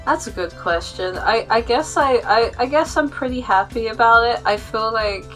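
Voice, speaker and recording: A woman's voice: a little high, normal speed, a bit bright, soft background music, rough recording. A woman co-hosting a casual opinion chat, speaking informally with somewhat hesitant phrasing. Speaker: co-host.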